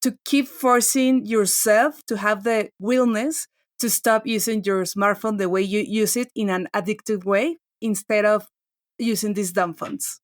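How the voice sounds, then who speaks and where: A woman's voice: mid-range voice, fairly even intonation, unhurried, dry recording, clean studio sound. A woman in her forties, a tech journalist chatting on a podcast, talking loosely with many restarts and "like"s. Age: 40s. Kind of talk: casual podcast chat.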